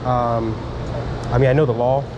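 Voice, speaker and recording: A man from Miami filming a casual self-made vlog on his phone, halting and chatty, full of fillers and restarts, talking to camera and to officials. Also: medium pitch, little pitch movement, background noise. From Miami, USA. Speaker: vlogger filming a first amendment audit. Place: outdoors then in a public building lobby. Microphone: handheld phone camera.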